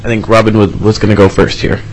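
A man's voice: fairly low pitch, little pitch movement, fast, slight background noise, poor audio. A man from Alaska who supports a youth program, speaking at a committee hearing in a rambling way with many restarts. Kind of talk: testimony. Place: legislative committee room.

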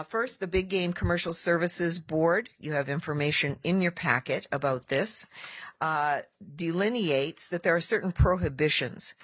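A committee chair running a formal legislative hearing, reading out a report and moving through procedure briskly with filler pauses. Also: mid-range voice, a bit bright, breathy edge, no room sound.

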